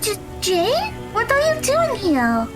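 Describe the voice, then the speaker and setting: Woman playing a very high-pitched, hesitant young girl. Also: huge pitch swings, slow, full character voice. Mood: hesitant, startled. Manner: hesitant, stammering. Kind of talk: audio drama acting. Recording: loud music behind the voice.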